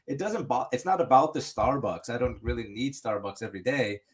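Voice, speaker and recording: A man's voice: mid-range voice, fairly quick. Man, casual podcast storyteller, loose and rambling, lots of restarts. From United States. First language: English. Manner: rambling, many restarts and "you know". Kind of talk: casual chat.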